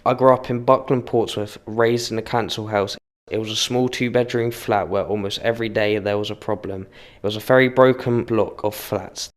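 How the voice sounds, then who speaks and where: A man's voice: lowish voice, steady tone, normal speed. A young man, speaking candidly in personal testimony for a short film.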